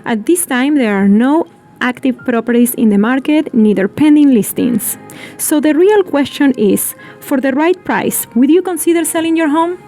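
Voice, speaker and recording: A woman from Argentina, a native Spanish speaker, delivers a scripted real estate pitch to camera. Her voice sits high, with faint music playing under it. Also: animated, normal speed, warm tone. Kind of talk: scripted promotional video.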